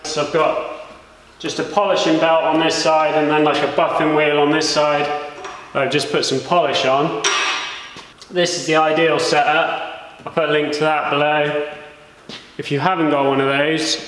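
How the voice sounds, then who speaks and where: A man in his thirties casually showing a how-to in his workshop, relaxed and full of fillers. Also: on the high side. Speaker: welding fabricator, channel host. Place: workshop with bare walls, indoors. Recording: echoey workshop, some noise.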